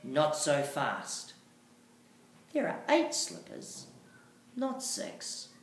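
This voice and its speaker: A teacher reading a measured, courteous cicada prince. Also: mid-range voice, wildly swooping pitch, voice pushed up, heavily acted. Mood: firm. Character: polite but firm male cicada prince. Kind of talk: readers theatre storybook reading. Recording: echoey room, faint background noise.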